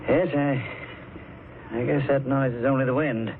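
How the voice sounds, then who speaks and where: An actor playing a courteous but probing police officer in a 1940s radio drama. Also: male voice, mid-range voice, fairly even intonation, normal speed, lightly acted. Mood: polite, probing. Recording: noisy, tinny 1940s radio recording.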